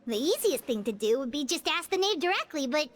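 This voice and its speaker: A tiny fairy-like game companion with a high, bright little voice who chatters about herself in the third person. She has a lively, earnest way of talking, full of questions and quick reactions. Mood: worried. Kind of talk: video game cutscene dialogue.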